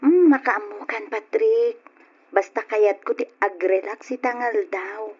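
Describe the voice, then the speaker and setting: A headstrong young woman of twenty pleads and defies her father for love, as an actress plays her. Her voice is high. It is heard through a dull, lo-fi old radio recording. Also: measured pace, darkish tone, breathy edge. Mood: sweet. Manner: pleading, defiant. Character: twenty-year-old daughter, headstrong and lovestruck. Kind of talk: old radio drama acting.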